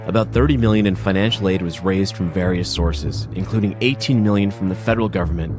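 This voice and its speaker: A man with a somewhat low voice reads out history in an earnest, musing way. A clear music bed plays under him. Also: fast talker. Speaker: podcast host. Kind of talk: reading history, then personal reflection.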